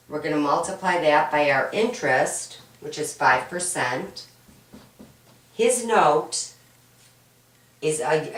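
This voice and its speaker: A woman with a low, hoarse, raspy voice from a cold walks through homework problems one step at a time. She is patient and keeps checking in with "right?". The recording sounds roomy and distant, as if picked up from across the room. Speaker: accounting instructor. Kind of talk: homework walkthrough lesson. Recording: roomy echo, mic at a distance.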